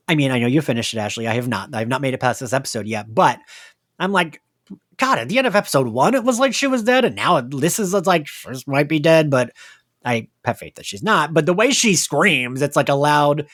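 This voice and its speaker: A podcast host chatting casually and excitedly about an audio drama, with the gushing, giggly manner of a fan. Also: medium pitch, moderate pace, no echo, clean studio sound. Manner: animated, gushing fan talk peppered with laughter.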